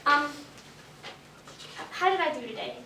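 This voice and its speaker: Insecure ingénue, played by a woman; high, animated, earnest, echoey distant stage recording. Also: a little slow, slight background noise, exaggerated voice. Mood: earnest, unsure. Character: earnest, insecure, admiring young actress.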